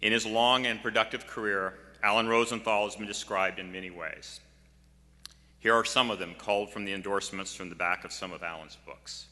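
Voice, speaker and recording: Bright, forceful older man in a hall. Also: on the low side, steady tone, brisk. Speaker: political science scholar, memorial speaker. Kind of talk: prepared tribute speech. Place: large music hall, at a memorial event. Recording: reverberant hall sound.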